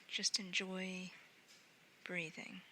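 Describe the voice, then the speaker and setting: Low-pitched woman guiding meditation, bright voice. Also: level tone, slight background noise, poor audio. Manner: gentle, unhurried, trailing into breathy near-whispers. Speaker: meditation guide. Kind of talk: guided sleep meditation, slow and soothing.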